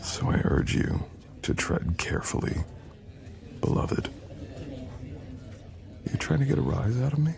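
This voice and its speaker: A man playing a menacing, possessive supernatural boyfriend in a romantic ASMR roleplay, speaking in an intimate, brooding and often breathy way. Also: big pitch swings, darkish tone, heavily acted. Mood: reluctantly amused. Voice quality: deep, gravelly, often breathy and whispered. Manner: slow, brooding, teasing intimate delivery.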